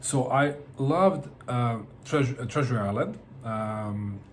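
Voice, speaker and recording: A middle-aged man from Bosnia talks casually to camera in a mid-pitched voice. He hesitates often and repeats his words as he searches for them. A faint hiss runs behind him. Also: animated, slow. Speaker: book vlogger.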